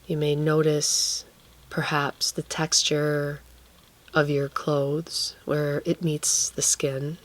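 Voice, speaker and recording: A woman guiding a relaxation meditation, speaking gently and leaving long pauses between phrases. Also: deep voice, little pitch movement, rough recording. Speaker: guided meditation teacher.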